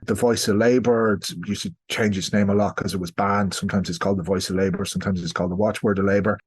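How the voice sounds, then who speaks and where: An Irish man speaking as a historian guest in a podcast interview, explaining things in a discursive way. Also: medium pitch. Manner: discursive, explanatory, checks listener with "right?".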